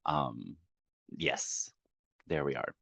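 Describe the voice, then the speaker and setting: A person in their late forties, a podcast guest talking in a relaxed, candid way and laughing easily. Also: male voice, rumbling low, drawn-out words, creaky, slightly rough, dry recording. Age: 40s. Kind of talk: casual podcast interview.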